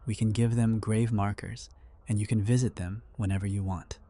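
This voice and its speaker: A man's voice: lowish voice, flat delivery, normal speed, warm tone, no room sound. Man playing a firm, compassionate survivor, dry sound. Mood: gentle, solemn. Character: firm, compassionate male survivor standing his ground. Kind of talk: full-cast audio drama acting.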